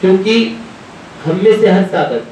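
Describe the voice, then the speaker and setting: A man's voice: animated, normal speed, a little mellow. A man giving an informal devotional discourse as a spiritual teacher, telling anecdotes in a conversational, rambling way and often quoting dialogue. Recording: very echoey room, distant mic, rumbling noise.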